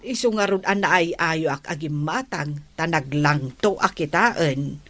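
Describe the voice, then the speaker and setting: A woman's voice: low voice, unhurried, a bit bright. A woman acting an inquisitive, matter-of-fact village woman in a comedy radio drama, talking conversationally.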